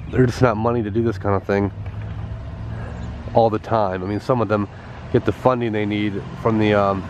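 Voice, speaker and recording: A man with a stuffed-up, congested voice, casually vlogging outdoors at a rural schoolhouse and talking in halting bits full of pauses and fillers. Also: fairly low pitch, highs cut off, slight background noise. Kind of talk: casual walk-around vlog narration.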